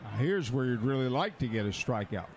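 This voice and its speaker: A man's voice: medium pitch, animated, a little fast, a faint crowd, slight background noise. A man giving analytical color commentary during a live baseball broadcast. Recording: outdoor stadium ambience behind voice. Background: ballpark ambience.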